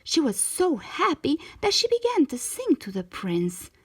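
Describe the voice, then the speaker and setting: Female storybook narrator for young children, slow and lively, with a slightly breathy edge. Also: high voice. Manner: expressive, measured storytelling for children. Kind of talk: scripted storybook narration, read aloud.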